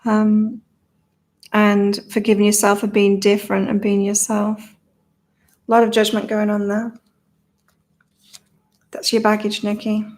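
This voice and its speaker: A woman hosting a casual psychic livestream, slightly croaky, reading viewers' comments aloud and laughing often between card readings. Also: mid-range voice, completely flat delivery, a little slow, pauses often, a little mellow. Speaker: psychic reader and channel host.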